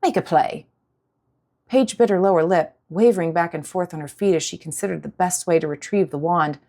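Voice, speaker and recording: A woman's voice: lowish voice, a little fast, darkish tone. A woman audiobook narrator reading a scripted story in a dramatic style.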